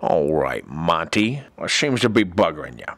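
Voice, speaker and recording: Man playing a low, swooping, sarcastic therapist. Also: very animated, moderate pace, fairly bright tone, no room sound, heavily acted. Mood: dry, sarcastic. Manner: sarcastic, edgy, crude. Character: foul-mouthed, irritable, sarcastic male therapist. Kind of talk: scripted comedy character acting.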